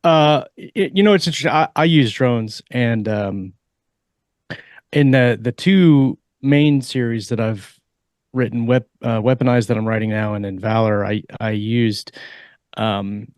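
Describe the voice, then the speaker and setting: A man on a podcast panel explaining ideas at length in a rambling way, with many pauses and fillers. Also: medium pitch, darkish tone, smooth, no room sound, studio quality. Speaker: podcast co-host and author. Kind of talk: casual panel discussion.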